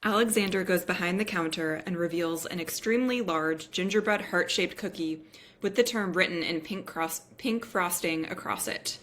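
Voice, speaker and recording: A woman's voice: fairly low pitch, normal speed, a bit bright. A woman reading the stage directions of a script aloud as scripted narration at a table read.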